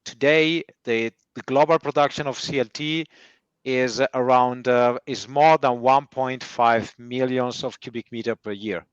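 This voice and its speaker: A man with an Italian accent, answering interview questions slowly with many hesitant fillers. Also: mid-range voice, clean tone, dry recording, muffled recording. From Italy. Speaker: guest timber engineer.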